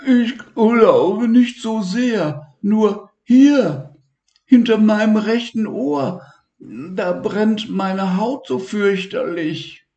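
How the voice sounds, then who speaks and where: A man's voice: high-pitched, expressive, drawn-out words, darkish tone, lo-fi sound, voice pushed up, full character voice. A weepy, fretful little patchwork elephant, put on by a man reading a storybook, his voice pushed far up above the reader's own. He whimpers and stammers, and the words ring a little in an echoey room. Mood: whimpering.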